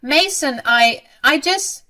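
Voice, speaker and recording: A woman's voice: medium pitch, animated, a little slow, a bit bright. A woman voicing a cruel, snobbish middle-aged mother-in-law in a dramatized story, haughty and scornful. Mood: flustered, pleading.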